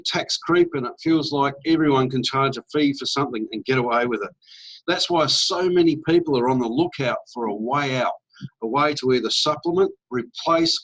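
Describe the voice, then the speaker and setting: A man's voice: medium pitch, fairly bright tone, rough recording. A middle-aged Australian man giving an upbeat, persuasive sales presentation with casual fillers. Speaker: network marketing presenter.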